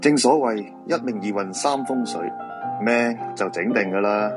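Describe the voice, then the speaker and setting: A man acting a weary, grumpy feng shui master in a Cantonese radio drama, gruff and dismissive, with a Hong Kong accent. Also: on the low side, measured pace, music in the background, lightly acted. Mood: wistful.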